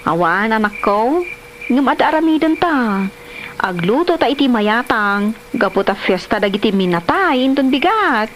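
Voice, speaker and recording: A woman's voice: on the high side, lively intonation, normal speed, slight background noise, lightly acted. A woman playing an earnest wife and mother who nags, in a comedy audio drama. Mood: gentle. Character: nagging, principled wife and mother. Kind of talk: comedy radio-style drama acting.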